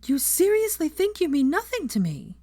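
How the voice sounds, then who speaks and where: Woman acting high-strung, emotional young woman. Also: huge pitch swings, moderate pace, warm tone. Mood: upset, insistent. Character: emotional young woman, outraged yet tender and remorseful. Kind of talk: dramatic audiobook character acting.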